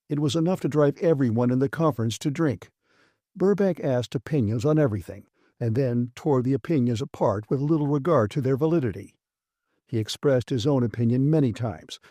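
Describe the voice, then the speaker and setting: A man reads science fiction as an audiobook narrator. His voice sits at an average male pitch with a mellow, relaxed tone, and the recording is very dry. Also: no echo.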